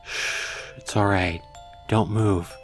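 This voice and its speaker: A man voicing a gentle, apologetic young woman from a visual novel, softly and haltingly, with music behind. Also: fairly low pitch, steady tone. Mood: tearful, soothing.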